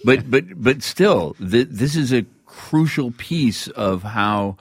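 A man hosting a radio interview, putting his questions in a conversational, musing and halting way. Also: medium pitch, lively intonation, slightly rough, no echo, clean studio sound.

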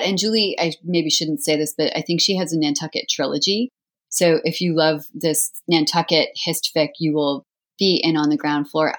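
A woman with a slightly low voice talks as a guest author in a casual interview. She is effusive and emphatic as she recommends books. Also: fairly bright tone.

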